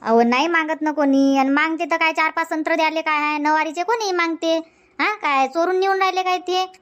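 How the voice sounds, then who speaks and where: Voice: very high voice, steady tone, fairly quick, fairly bright tone. An actor voicing a chatty, stubborn village housewife in a comedy cartoon, nagging insistently in a rural Vidarbha Marathi accent. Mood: stubborn, explaining.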